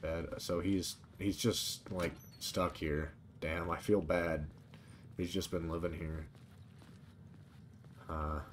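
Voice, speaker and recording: Deep male voice, casual gameplay commentary, profane, muttered asides, faint game sound behind. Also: steady tone, takes it slowly, long pauses, slight background noise. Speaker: video game streamer. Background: video game sound.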